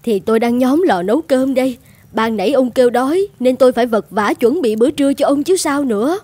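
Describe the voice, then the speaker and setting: High-voiced, exasperated cartoon housewife. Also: female voice, expressive, fairly quick, warm tone, breathy edge. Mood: indignant. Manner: protesting, talking back. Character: exasperated, plain-spoken village housewife. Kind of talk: animated fairy-tale acting.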